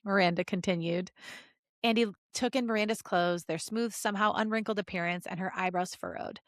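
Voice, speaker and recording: A woman podcast host reading fan fiction aloud as an expressive story narrator. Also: mid-range voice, fairly quick, no room sound, studio quality.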